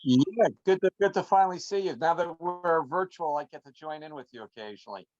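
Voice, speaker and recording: A man's voice: a little high, expressive, a little fast, darkish tone, no room sound. A man casually asking questions as a club member at an online meeting, over a video call. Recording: dull lo-fi video call audio.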